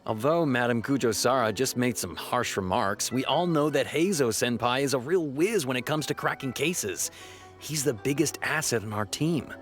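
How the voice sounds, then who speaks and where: A man's voice: medium pitch, normal speed, close to an everyday voice. A man playing a loyal junior police officer in a video game, earnest, insistent and admiring. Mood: earnest, defensive. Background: game music.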